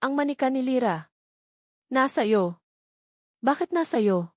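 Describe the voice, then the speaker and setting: A woman's voice: high voice, animated, takes it slowly, no echo, studio quality, pitched above the performer's own voice, full character voice. A woman voicing an anguished, betrayed young woman in an audio drama, trembling and accusing. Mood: furious, tearful. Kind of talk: Tagalog audio drama dialogue.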